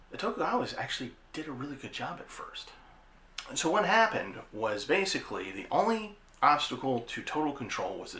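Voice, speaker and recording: A man's voice: medium pitch, bright, forceful tone. A man hosting an informal history explainer, talking conversationally and repeating phrases for emphasis. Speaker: host of an anime history show. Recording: roomy echo with faint background noise.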